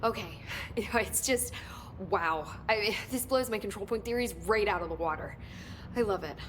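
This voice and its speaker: A woman's voice: mid-range voice, normal speed, background noise, natural voice. A woman playing an eager, curious young American research scientist in a video game, chatty and explanatory. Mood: amazed, excited. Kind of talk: video game dialogue acting.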